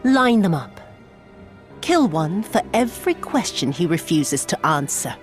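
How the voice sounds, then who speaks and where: A woman's voice: fairly low pitch, very animated, a little slow, soft background music. A woman playing a cruel, contemptuous military commander in a video game, with a haughty, sneering, theatrical manner. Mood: exasperated, menacing. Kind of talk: video game villain acting.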